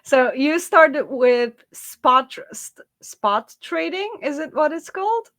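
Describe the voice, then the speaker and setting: A woman's voice: high-pitched, animated, slow pace, a bit bright. A woman hosting an interview, friendly and chatty, laughing often and using many fillers. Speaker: interview host. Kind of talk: casual interview.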